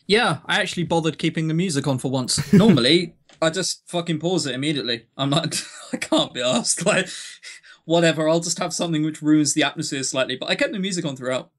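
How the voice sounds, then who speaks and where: A man's voice: on the high side, fast talker, a bit bright. A British man co-hosting a podcast in casual chat, blunt, sarcastic and prone to swearing. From Britain. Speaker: podcast co-host.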